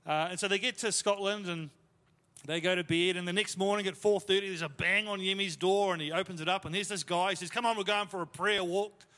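A man delivers a sermon through a series of stories, relaxed and conversational, stumbling over fillers and poking fun at himself. His voice sits a little higher than most men's. A long reverb trails each phrase, as if from a large church hall. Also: brisk. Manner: conversational, self-deprecating, lots of fillers. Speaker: pastor. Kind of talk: sermon storytelling.

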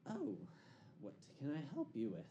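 A curt old halfling lady acted out by a man, steeped in sarcasm as a temple tutor in a tabletop fantasy game. The recording has clear background noise. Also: fairly high pitch, huge pitch swings, moderate pace, mellow, muffled recording, voice pushed up.

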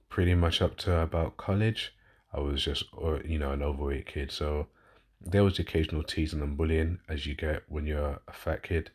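A man in his thirties with a London accent, hosting a podcast and talking casually about his life, rambling and correcting himself. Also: very deep voice. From London, England. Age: 30s. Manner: rambling, self-correcting, frequent fillers. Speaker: podcast host and fitness coach. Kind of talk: casual personal monologue.

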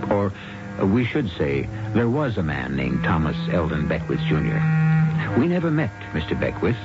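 A man's voice: low-pitched, sing-song, normal speed. A man hosting an old radio mystery with theatrical, wry, teasing narration. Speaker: series host. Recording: muffled old radio recording, music behind.

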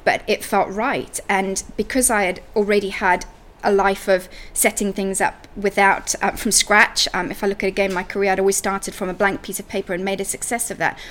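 A woman's voice: mid-range voice, level tone, fairly quick, fairly bright tone. A woman from Zimbabwe speaking as a podcast guest and coach in a conversational interview.

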